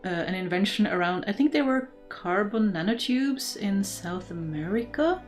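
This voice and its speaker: A woman's voice: mid-range voice, expressive, normal speed, faint music behind. A woman from Norway, chatting casually and enthusiastically as a livestream host, in a thoughtful, rambling way. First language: Norwegian. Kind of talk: casual chat, reading and answering live comments.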